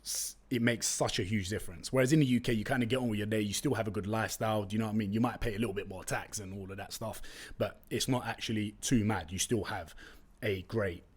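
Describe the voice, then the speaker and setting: A man's voice: on the low side, quick pace, slightly rough. A young man from London with a London accent, a native Turkish speaker, chatting casually and slangily as a solo podcast host on a close studio mic. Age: young adult. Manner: chatty, slangy, animated storytelling. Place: room with brick walls, indoors. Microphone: close studio condenser mic with pop filter.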